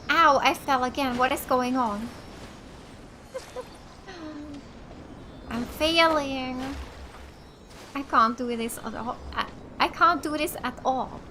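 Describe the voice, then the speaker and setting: A woman from Finland doing casual let's play commentary, reacting aloud and thinking out loud as she plays. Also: high-pitched. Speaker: gaming YouTuber. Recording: game music behind the voice. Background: video game music.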